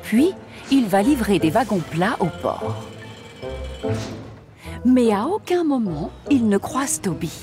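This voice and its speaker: Lady narrator for a kids cartoon, highish voice, very lively intonation, music playing behind. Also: slow, long pauses. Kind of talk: scripted children's story narration.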